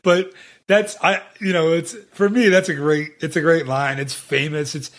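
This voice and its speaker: A man's voice: on the high side, fairly even intonation, normal speed, fairly bright tone. A man hosting a podcast in casual chat, talking in a chatty, rambling way with many restarts. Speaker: podcast host.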